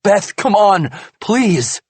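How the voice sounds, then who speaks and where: A man's voice: high-pitched, huge pitch swings, slow, breathy edge, no echo, voice pushed up, heavily acted. A man voicing a guilty, ashamed husband who pleads and defends himself in an audiobook. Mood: pleading.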